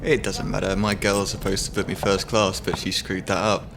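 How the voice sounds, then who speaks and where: A man's voice: low-pitched, flat delivery, brisk, creaky, noisy background. A man playing a chatty, entitled airline passenger in a radio drama. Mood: casual, chatty.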